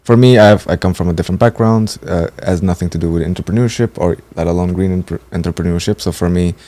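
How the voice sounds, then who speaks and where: Guy in his 20s from portugal, talks easy and thoughtful, really low warm voice. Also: fast talker. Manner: fluent and thoughtful, building longer sentences. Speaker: training participant. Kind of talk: casual conversation.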